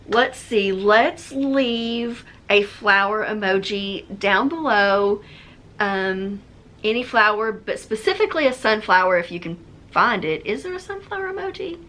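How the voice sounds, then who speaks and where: Female book vlogger, mid-pitched voice, chatty and informal, speaking directly to viewers. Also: a bit bright. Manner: chatty, conversational. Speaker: book reviewer, video host. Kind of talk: casual chat to viewers.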